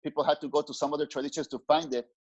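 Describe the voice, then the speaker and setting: A man's voice: fairly high pitch, little pitch movement, very fast. A middle-aged man from Mexico, a spiritual teacher speaking earnestly as an interview guest on a headset in a small home room, often restarting his words. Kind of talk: reflective interview talk. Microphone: headset on video call. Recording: dull lo-fi call audio.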